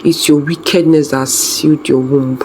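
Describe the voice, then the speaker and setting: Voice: medium pitch, fairly even intonation, moderate pace, darkish tone, natural voice, rough recording. A storyteller voicing a spiteful, scornful mother-in-law who accuses and hisses in a folktale. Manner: hissing, accusing.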